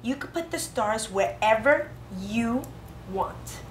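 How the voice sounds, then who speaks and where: A woman preschool teacher with a high voice that swings up and down in a sing-song way as she guides small children through a craft. She sounds exclamatory and instructional, recorded in an echoey classroom. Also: lively intonation, takes it slowly. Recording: roomy classroom echo, faint background noise.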